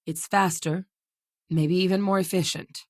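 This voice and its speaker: An actress voicing a seasoned, determined female military xenobiologist in a video game, speaking in a measured, earnest, matter-of-fact way. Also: fairly low pitch, steady tone, no room sound, clean studio sound, close to an everyday voice. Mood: measured, serious. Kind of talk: scripted game dialogue.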